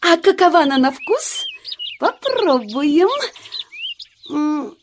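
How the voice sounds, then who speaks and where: An actress playing a noisy, gossipy, excitable jay in a children's audio play, exclaiming shrilly. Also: female voice, sits high, takes it slowly, heavily acted. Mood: amazed.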